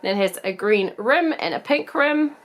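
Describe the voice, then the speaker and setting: A woman in her thirties with a New Zealand accent, croaky from a cold, chatting bubbly through a casual doll unboxing review. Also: mid-range voice, lively intonation, moderate pace. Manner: chatty, bubbly, laughs often. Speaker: doll collector vlogger.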